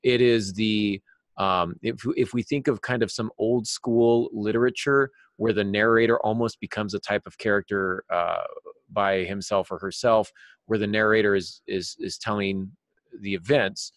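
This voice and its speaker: A man hosting a podcast discussion on writing craft, explaining in a halting way with wry asides. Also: on the low side, fairly even intonation, no room sound. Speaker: podcast host and writing teacher.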